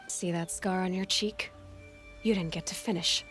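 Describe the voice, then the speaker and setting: An actress playing a scornful young huntress heroine in a video game, blunt, dry and defiant. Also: female voice, fairly low pitch, fairly even intonation, normal speed, natural voice. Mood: bitter defiance. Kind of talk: dramatic video game dialogue. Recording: game dialogue over clear background music.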